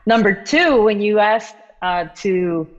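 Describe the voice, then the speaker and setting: A woman's voice: mid-range voice, expressive, slow pace. A young adult woman, a drummer and composer, chatting on a video call from home, laughing often and quoting her own thoughts. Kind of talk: casual chat on a video call. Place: room at home. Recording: echoey, dull video-call audio.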